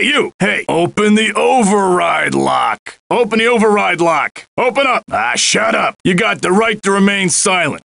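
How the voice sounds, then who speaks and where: A man's voice: high voice, gravelly, bright, forceful tone, dry recording, exaggerated voice. A man playing a bossy, brash cop in a video game, with a barking, tough-guy delivery. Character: bossy, brash male cop and security guard.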